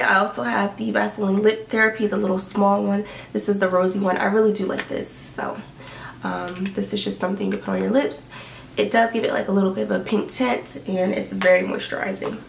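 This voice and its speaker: A young woman talks to her camera from her bedroom, upbeat and rambling with plenty of ums. Her voice has a gravelly fry and sounds stuffy from allergies. The small room echoes noticeably, and the mic sits a bit away from her. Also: medium pitch, a little hiss or hum. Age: young adult. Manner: chatty, upbeat, lots of likes and ums. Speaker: beauty and fashion vlogger. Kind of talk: casual what's-in-my-bag chat. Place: bedroom indoors. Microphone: camera in front at desk distance. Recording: roomy bedroom echo, mic a bit far.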